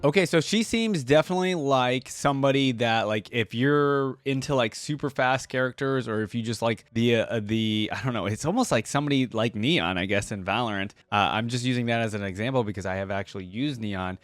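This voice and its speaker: A man's voice: mid-range voice, fairly quick, hardly any pauses, no room sound, clean studio sound. A man hosting a reaction video, commenting casually and thinking aloud as he watches.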